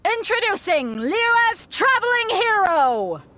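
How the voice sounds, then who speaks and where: A showy video game tournament announcer with a high, bright voice. She is dramatic and brisk, and her pitch swings in a lively way. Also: no room sound, heavily acted. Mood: showy announcing.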